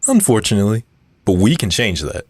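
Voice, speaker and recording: A man playing a calm, earnest community activist being interviewed in an audio drama, speaking in a measured way. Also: fairly low pitch, slightly rough, dry recording, exaggerated voice. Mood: resolute. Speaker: radio show guest.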